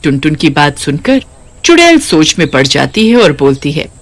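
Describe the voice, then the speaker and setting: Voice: mid-range voice, big pitch swings, moderate pace. A narrator reading a scripted cartoon story.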